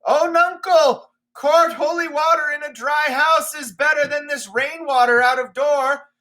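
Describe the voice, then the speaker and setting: A man's voice: steady tone, measured pace, sharp tone, exaggerated voice. A man voicing an anxious male court fool who pleads with his master. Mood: pleading. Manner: high, pleading.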